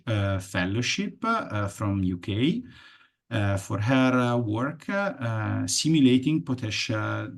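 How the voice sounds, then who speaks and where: A young man with an Italian accent, moderating an online event and introducing a speaker in a halting, hesitant way. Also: medium pitch. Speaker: host and moderator.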